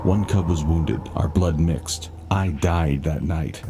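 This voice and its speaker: A man acting as a brooding narrator, telling a haunted childhood memory in dramatic, measured, scripted style. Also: deep voice, fairly even intonation. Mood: grim. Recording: loud music behind.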